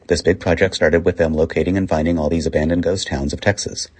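A man reading newspaper articles aloud in a steady, unhurried way, saying the quote marks as he goes and sometimes slipping and restarting a word. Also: deep voice, husky. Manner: steady, unhurried delivery with small slips and restarts. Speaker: newspaper reader.

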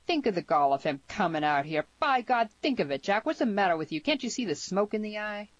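A woman's voice: medium pitch, very animated, brisk, voice pushed up, close to an everyday voice. A woman voicing a big, hostile cowboy in a western story, brash and barking. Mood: outraged. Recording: dull, roomy sound.

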